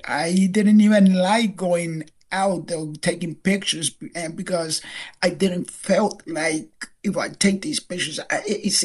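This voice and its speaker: A woman in her sixties, an author, speaking slowly and hesitantly as she shares personal memories in an interview. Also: low voice, breathy edge. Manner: slow, hesitant, emotional. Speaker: guest author.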